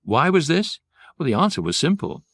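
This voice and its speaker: An actor playing a wry guidebook narrator in a radio comedy, measured and deadpan in his storytelling. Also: fairly high pitch, no echo, heavily acted.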